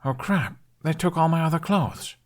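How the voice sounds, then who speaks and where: A man's voice: a little high, lively intonation, moderate pace, a little mellow, pitched above the performer's own voice, natural voice. A man voicing a mild, wry young boy hero in a fantasy audiobook, speaking casually. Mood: dismayed.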